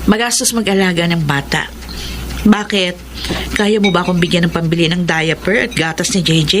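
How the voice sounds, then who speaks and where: A woman playing a proud, sharp-tongued young mother in a radio drama, with a Filipino accent and a blunt, nagging way of talking. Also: lowish voice, fairly even intonation, moderate pace, lightly acted. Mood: defensive. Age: young adult. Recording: clear background noise and ambience.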